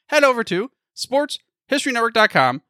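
A man delivers a chatty podcast promo in a bright voice with lively swings in pitch. The sound is very dry, and he talks upbeat and straight to the listener. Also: fairly quick, no room sound. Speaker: podcast host. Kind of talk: scripted network promo.

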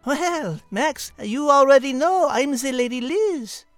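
A woman's voice: sits high, lively intonation, slow, no echo, studio quality, soft background music. A woman voicing a refined, learned female cat with a French accent and a prim, precise manner in a children's audio drama. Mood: prim, patient. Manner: prim, precise, patient, schoolteacherly.

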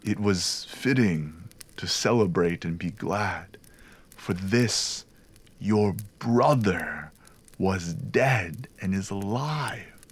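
A man doing a gentle grieving father. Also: low-pitched, flat delivery, measured pace, breathy edge, heavily acted. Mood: tender. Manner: tender, pleading.

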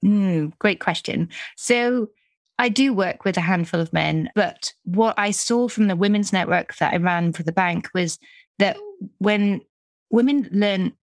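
A woman's voice: medium pitch, measured pace, dry recording. A middle-aged British woman from the UK, a business coach talking casually in an interview, chatty with frequent restarts. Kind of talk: conversational interview.

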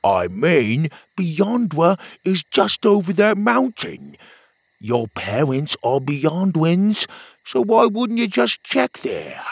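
A cheerful young companion, put on by a male storyteller teases and reassures in a raised, animated voice. It keeps a gravelly, husky grain. The recording is dry and close. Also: fairly high pitch, slow, dry recording, pitched above the performer's own voice, heavily acted. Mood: reassuring. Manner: teasing, reassuring.

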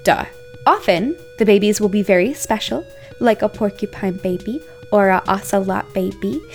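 Playful woman podcast host over soft music. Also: medium pitch, moderate pace. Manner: playful, informal, slangy. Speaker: podcast co-host, audio drama maker. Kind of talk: casual podcast narration.

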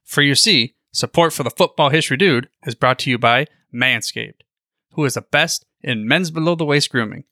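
A man's voice: fairly high pitch, sharp tone, no room sound, studio quality. A man hosting a podcast, reading a sponsor spot casually with folksy, emphatic enthusiasm. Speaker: podcast host.

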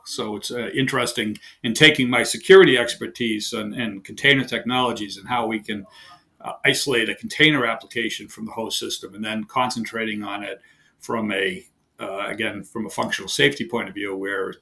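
A man with a heavy Boston accent, a guest engineer explaining his work in a casual interview, halting with many fillers and restarts. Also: fairly low pitch, vocal fry, bright, forceful tone. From Boston area, USA.

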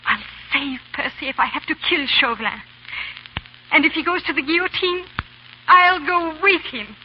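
A woman's voice: high-pitched, normal speed, lightly acted. A woman playing an anguished, determined young noblewoman in a theatrical, urgent 1930s radio drama style. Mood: desperate, resolute. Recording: dull, faintly noisy 1930s radio recording.

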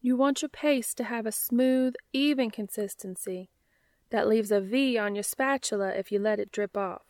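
A woman reading the part of a calm, precise female baking teacher in a story, explaining step by step. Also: on the high side, normal speed, no room sound, studio quality, natural voice. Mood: instructive.

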